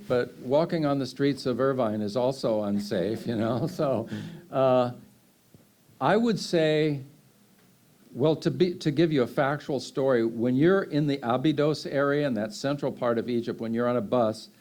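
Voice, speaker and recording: A man's voice: medium pitch, a little slow, darkish tone. A man, answering audience questions in a loose, rambling way full of fillers and restarts. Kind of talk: unscripted Q&A answers.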